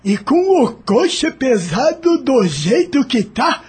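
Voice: high-pitched, sing-song, takes it slowly, a little mellow, husky, heavily acted. An actor in a radio drama playing a humble, deferential rural coachman with rustic speech. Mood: sorrowful, apologetic.

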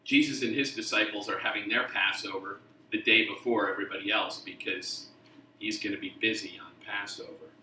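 Middle-aged guy from texas, accent mostly gone, preaching in a church, bright voice, kinda far from the mic. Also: fairly low pitch, slight background noise. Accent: mostly faded Texas. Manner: conversational, self-deprecating humor. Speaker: guest pastor. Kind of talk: sermon. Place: church sanctuary. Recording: roomy church sound from off-mic.

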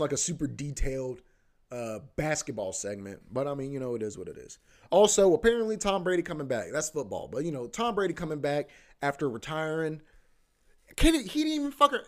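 A man's voice: mid-range voice, a little mellow. A man hosting a podcast, rambling casually about sports, repeating himself, swearing and saying "bro" a lot. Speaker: podcast host.

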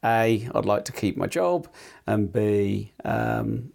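A man's voice: on the low side, expressive, slow pace, creaky, a little mellow. A man co-hosting a casual tech podcast, rambling with many fillers and restarts while nursing a sore tongue. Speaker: podcast co-host.

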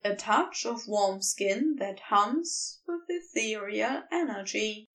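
A woman reading a romantic story as scripted narration, tender and unhurried in her storytelling, a little away from the mic. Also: medium pitch, expressive, a bit bright, far from the mic. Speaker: amateur fan fiction narrator.

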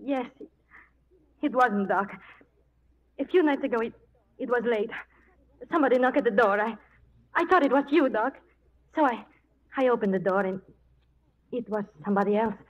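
High-voiced, nervous saloon woman played by an actress. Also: moderate pace, long pauses, natural voice. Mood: frightened, confessing. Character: emotional, nervous young saloon woman of Old West. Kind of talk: 1940s radio western acting. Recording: dull, noisy 1940s radio recording.

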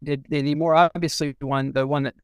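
A man in a casual literary discussion, thinking aloud in a halting way and often repeating and restarting his phrases. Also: a little high, level tone, clean tone, no echo. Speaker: podcast discussion co-host.

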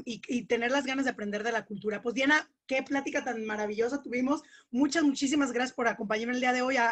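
A woman's voice: a little high, fairly bright tone, slightly rough. A woman, hosting a podcast interview, attentive and summing up her guest's points. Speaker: podcast host. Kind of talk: interview host.

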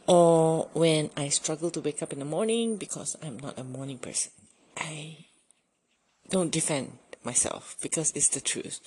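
A woman's voice: deep voice, measured pace, a little mellow, slight background noise. A middle-aged woman with a Malaysian English accent, talking casually to camera in a chatty, self-deprecating way. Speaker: YouTuber.

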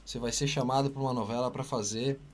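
A man hosting an interview podcast, asking questions in a relaxed, conversational way. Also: medium pitch, fast. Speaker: podcast host.

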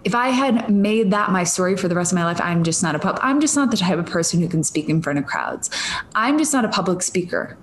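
A woman's voice: lowish voice, lively intonation, fairly quick, slight background noise. Rambling Minnesotan woman podcaster. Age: 30s. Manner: rambling, stream of consciousness, laughing asides. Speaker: podcast host. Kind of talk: casual solo podcast monologue.

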